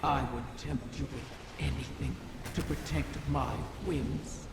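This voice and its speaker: An actor playing an ancient, cunning dragon-like creature in a video game, tempting and vengeful, with a silky, menacing, theatrical delivery. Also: male voice, mid-range voice, fairly even intonation, takes it slowly, creaky, heavy background noise. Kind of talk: scripted video game dialogue. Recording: cavernous long reverb, music behind. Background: game music.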